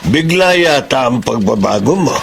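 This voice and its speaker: An actor playing a genial but cautious jeep owner in a Filipino radio drama. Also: male voice, mid-range voice, lively intonation, moderate pace, vocal fry. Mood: frank, probing. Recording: noisy ambience behind the voice.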